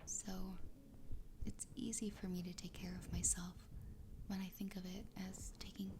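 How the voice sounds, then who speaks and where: A woman voicing a doting, possessive yandere fox girl wife in a soft, breathy ASMR whisper, tender and soothing. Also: medium pitch, little pitch movement, moderate pace, lightly acted. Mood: loving, grateful. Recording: clear background ambience noise.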